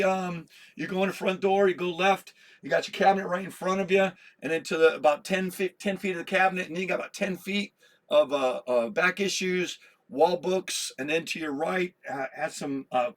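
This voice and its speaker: A slightly hoarse man hosting a comic book vlog, chatty and self-deprecating, laughing at his own slips. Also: fairly high pitch, fairly bright tone. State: a little hoarse. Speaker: comic collector host. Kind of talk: rambling casual vlog.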